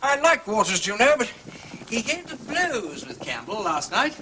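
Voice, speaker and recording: An actor playing a jaunty, inquisitive English aristocrat sleuth, with upper-class vowels and playful, exclamatory period phrasing. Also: male voice, high voice, very animated, moderate pace, noisy background. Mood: eager, relieved. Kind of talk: period radio drama acting.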